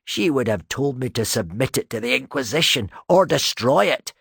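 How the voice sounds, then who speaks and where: A man's voice: mid-range voice, expressive, normal speed, gravelly, a bit bright, slightly rough, no echo, studio quality, voice pushed up, full character voice. A man voicing a guilt-ridden male scholar who pleads with a cracking voice. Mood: insisting.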